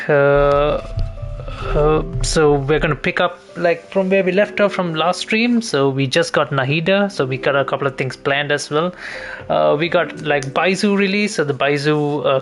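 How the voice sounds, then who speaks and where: A man's voice: a little high, a bit bright. A man chatting casually as a live game streamer, rambling and repeating phrases as he thinks.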